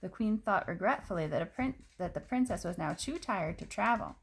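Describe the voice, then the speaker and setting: Animated woman storyteller with creaky edges. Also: mid-range voice, fairly quick, rough recording. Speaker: storyteller reading a picture book. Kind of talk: expressive children's story narration.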